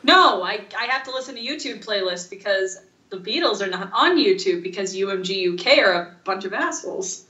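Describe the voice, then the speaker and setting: A woman co-hosting a casual podcast, rambling through stories in an animated, informal way. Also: mid-range voice, brisk, roomy sound, highs cut off. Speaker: podcast co-host. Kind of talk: casual chat.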